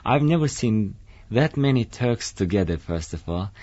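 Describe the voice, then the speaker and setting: A man's voice: fairly low pitch, expressive, moderate pace, dark tone, lo-fi sound. A young man from Turkey with a Turkish accent, speaking hesitantly in a studio interview. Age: young adult. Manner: hesitant, many fillers and restarts. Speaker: doctoral student interviewee. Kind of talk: casual interview.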